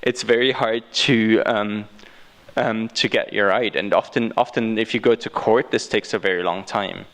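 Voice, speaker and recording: A man's voice: lowish voice, steady tone, normal speed, a bit bright. A man from Austria with an Austrian accent, speaking as a guest and answering questions unscripted, often repeating and restarting his phrases. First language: German.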